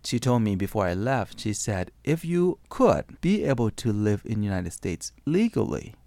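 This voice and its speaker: A man's voice: lowish voice, sing-song, normal speed, warm tone, no room sound. A man from Thailand who speaks English as a second language, telling his life story in an interview with frequent restarts and fillers. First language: Thai. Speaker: interview guest.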